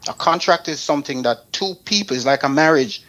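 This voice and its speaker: A man's voice: a little high, animated, a little fast, a bit bright, lo-fi sound. A man with a Jamaican accent hosting a talk show, bluntly and emphatically driving his points home by repeating them. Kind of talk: opinionated radio talk aimed at advising artists.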